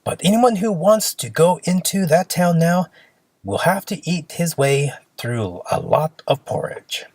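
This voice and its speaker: A storyteller reading a children's fairy tale aloud in an expressive, simple storybook manner. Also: medium pitch, unhurried, dry recording.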